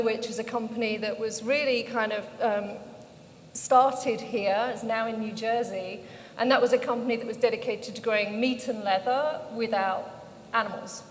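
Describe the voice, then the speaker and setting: A middle-aged British woman speaking on a panel, telling her story in a conversational way. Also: a little high. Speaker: panel speaker, founder of an organization. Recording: echoey hall, background noise.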